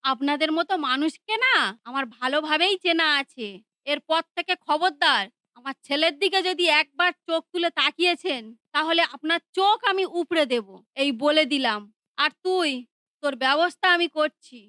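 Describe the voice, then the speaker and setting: A woman's voice: moderate pace, sharp tone, dry recording. A woman voicing a harsh, scolding mother and daughter-in-law in a cartoon. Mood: angry. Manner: shrill, scolding.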